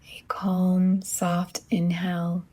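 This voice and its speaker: A woman guiding a relaxation meditation as a yoga teacher, in a hushed, breathy near-whisper, gently and with long pauses between phrases. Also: fairly low pitch, completely flat delivery.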